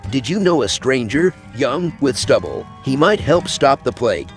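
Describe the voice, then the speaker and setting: A man's voice: mid-range voice, very animated, normal speed, vocal fry. A man playing a haughty young elven agent in a video game, imperious and sardonic, with open disdain for commoners. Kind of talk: video game roleplay dialogue. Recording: music behind the voice.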